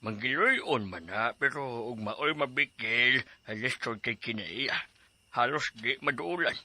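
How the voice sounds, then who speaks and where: A man's voice: mid-range voice, unhurried, sharp tone, no room sound. An actor playing a joking middle-aged father who teases and reminisces in a radio drama. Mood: teasing. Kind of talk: Cebuano radio drama acting.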